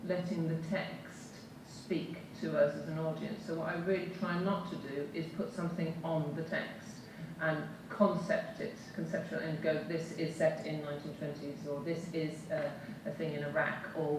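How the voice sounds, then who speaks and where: A woman's voice: low-pitched, little pitch movement, moderate pace, hardly any pauses. A woman theatre director on a panel, speaking emphatically with firm opinions. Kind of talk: panel discussion. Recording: echoey hall from far off, noisy.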